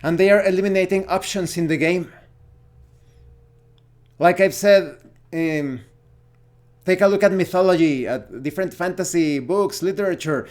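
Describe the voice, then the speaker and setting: Mexican accented guy, voice a bit high, rambling casual gaming opinions, kinda halting as he hunts for the right word. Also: darkish tone. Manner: halting, searching for words. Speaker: tabletop gaming vlogger.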